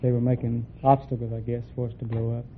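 Young male sailor contestant, mid-range voice, plain halting answers, thin vintage radio with faint noise. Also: medium pitch, little pitch movement, phone-quality sound. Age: young adult. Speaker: navy frogman, quiz contestant. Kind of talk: plain, hesitant interview answers. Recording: thin, faintly noisy old radio recording.

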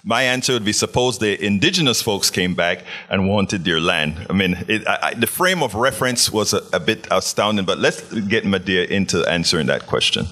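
A man hosting a live call-in discussion, moderating in a halting way and often repeating his words. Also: sits low, expressive, bright, forceful tone. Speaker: host of a call-in show.

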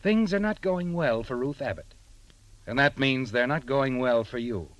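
An actor narrating an old-time radio thriller in a suspenseful, pointed manner, addressing the listener directly. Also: male voice, medium pitch, moderate pace, no room sound, natural voice, low-quality recording. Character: second-person radio narrator, insistent voice addressing Joseph. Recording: old radio recording with music behind.

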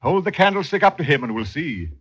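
An actor playing a stern, jealous eighteenth-century London husband in old radio drama style, blustering in archaic phrases. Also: male voice, a little high, sing-song, quick pace, a bit bright, husky, highs cut off, exaggerated voice. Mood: wary, commanding.

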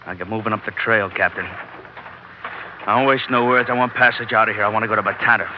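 A man playing a terse, menacing American villain in a vintage radio adventure, gruff and curt. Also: lowish voice, steady tone, fairly quick, close to an everyday voice. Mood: cold, insistent. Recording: muffled, noisy old radio broadcast.

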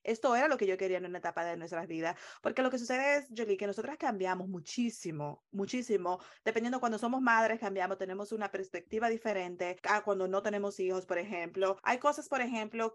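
Dominican lady coach answering interview questions, talks really fast, emphatic. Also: mid-range voice. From Dominican Republic. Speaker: business coach, interview guest.

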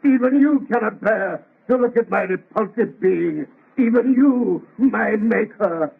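High, gravelly, anguished monster. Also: male voice, a little slow, heavily acted. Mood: bitter reproach. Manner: declamatory, anguished, theatrical. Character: lonely, bitter, vengeful man-made monster. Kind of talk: 1930s radio horror acting. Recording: muffled, lo-fi vintage radio recording.

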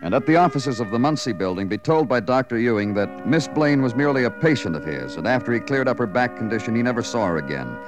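An actor playing a terse, world-weary American police detective who also narrates in clipped 1950s radio noir style. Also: male voice, medium pitch, sing-song, fast talker, hardly any pauses, over music, lightly acted. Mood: weary, moody. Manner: clipped, staccato, hard-boiled.

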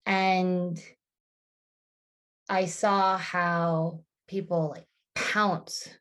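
A woman in her thirties, a podcast guest, speaking thoughtfully in casual conversation with frequent restarts and fillers. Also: medium pitch.

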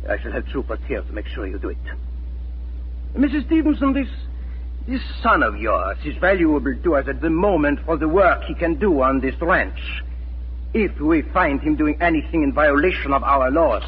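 A man's voice: on the high side, very animated, moderate pace, slightly rough, exaggerated voice. A man playing a cold, menacing Nazi occupation officer in 1940s radio drama, issuing commanding, formal threats. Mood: stern, threatening. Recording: scratchy, tinny 1940s radio recording.

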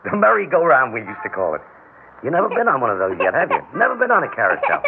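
A man playing a chatty, excitable father coaxing his baby son in an old radio drama. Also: mid-range voice, fast talker. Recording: noisy, narrow-band vintage radio recording.

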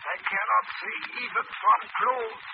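High, creaky old recluse. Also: male voice, fairly even intonation. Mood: suspicious, fussy. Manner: halting, repeats himself. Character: fussy, suspicious old European recluse gloating over his treasures. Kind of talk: 1940s radio acting. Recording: dull, very noisy old radio recording.

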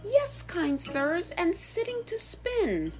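A woman voicing a polite, sprightly little lady mouse from a storybook rhyme, in a genteel, drawn-out sing-song. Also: very high-pitched, huge pitch swings, darkish tone, soft background music, pitched above the performer's own voice, heavily acted. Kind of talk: storybook verse character voice.

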